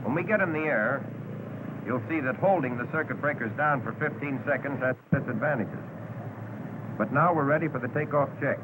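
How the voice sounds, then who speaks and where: A man with an American accent narrating a 1940s instructional training film in a measured, instructive manner. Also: mid-range voice. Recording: noisy 1940s film soundtrack.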